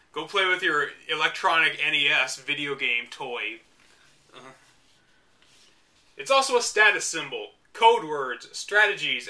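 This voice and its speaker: Bright-voiced man reading with sarcastic asides. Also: a little high. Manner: mocking, dry asides between read passages. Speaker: host reading a book aloud. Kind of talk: reading aloud with sarcastic commentary.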